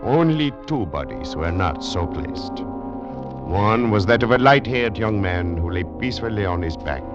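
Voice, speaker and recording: Man acting solemn army commandant, low voice, dramatic radio-play storytelling, music behind, vintage broadcast sound. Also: expressive, normal speed, close to an everyday voice. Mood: grim suspense. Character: grave, shaken French Foreign Legion commandant recounting a mystery. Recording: old radio recording, dull, with music.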